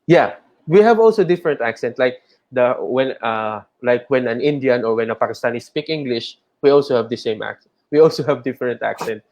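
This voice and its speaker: A man's voice: mid-range voice, animated, fairly quick, a little mellow, lo-fi sound. A man with a Filipino accent, an English trainer, speaking frankly in a casual chat and often correcting himself mid-word. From Philippines. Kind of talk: casual conversation.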